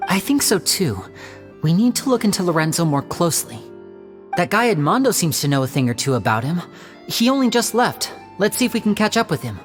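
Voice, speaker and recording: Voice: mid-range voice, very animated, fairly quick, over music, natural voice. An actor voicing a suave young magician. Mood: determined. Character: young male stage magician, poised and theatrical. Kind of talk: video game dialogue.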